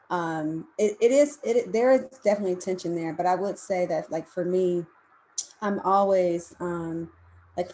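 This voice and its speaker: Low, very mellow woman, casually reflective. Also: low-quality recording. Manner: conversational, hesitant, circling back. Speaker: writer on a reading panel. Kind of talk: casual, reflective panel answer. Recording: faint background noise.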